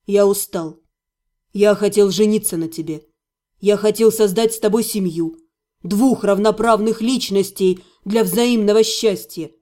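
Woman voicing a low, bitter young necromancer. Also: warm tone, natural voice. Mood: weary, reproachful. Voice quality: cold, restrained. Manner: bitter, deliberate, reproachful. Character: bitter young male necromancer, jilted fiancé, cold and weary. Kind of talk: dramatized audiobook dialogue.

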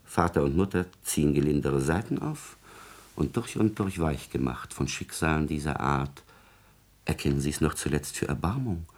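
An actor playing a servile, scheming court secretary in a classical radio drama, insinuating and calculating in a formal, obsequious manner. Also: male voice, a bass voice, moderate pace. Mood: smug.

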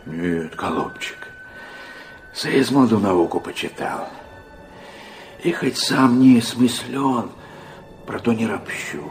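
An actor playing a frail, sick, kindly old peasant pilgrim in an audio drama, speaking in a folksy, pious, gently chuckling manner. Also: male voice, mid-range voice, wildly swooping pitch, takes it slowly, pauses often, soft background music, heavily acted. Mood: serene, wondering. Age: older. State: sick and weak. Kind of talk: literary audio drama acting.

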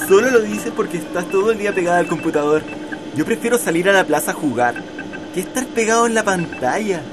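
A woman's voice: medium pitch, lively intonation, brisk, music in the background, natural voice. A woman playing a grumbling, slangy schoolboy with a Chilean accent in an educational radio drama. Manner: complaining, slangy.

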